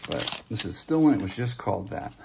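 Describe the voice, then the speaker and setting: A man with a lowish voice and heavy vocal fry talks casually as a hobby host. He lists things off and muses aloud in an offhand way. Slight noise and small handling clicks sit behind him. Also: big pitch swings, brisk. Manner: offhand, reading out names and musing aloud. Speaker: host of a trading card opening channel. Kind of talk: casual running commentary while opening card packs. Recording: background hiss, handling clicks and card shuffling.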